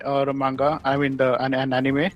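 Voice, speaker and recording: A man's voice: mid-range voice, monotone, a little fast, muffled recording. A man in a casual discussion, earnestly repeating himself to press his point. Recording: faint music behind the voice.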